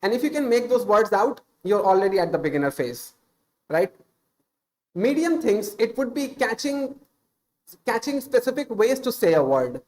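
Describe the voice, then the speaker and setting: A man's voice: sits high, sing-song, moderate pace, darkish tone. Earnest Indian man giving speaking tips. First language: Hindi. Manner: earnest, unscripted, with filler "uh" and self-corrections. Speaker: streamer giving English tips. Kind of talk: casual spoken advice on a stream.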